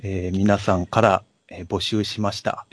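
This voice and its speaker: A man co-hosting a casual podcast chat, speaking politely and haltingly in an apologetic way. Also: low-pitched, flat delivery, no room sound. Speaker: podcast co-host.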